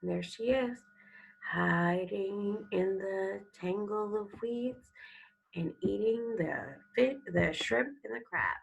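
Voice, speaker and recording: A woman teacher leading a read-aloud lesson for young children, explaining and prompting as she goes, with a gravelly, creaky voice. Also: mid-range voice, slow, muffled recording. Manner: instructional, addresses children with prompting questions. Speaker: elementary school teacher.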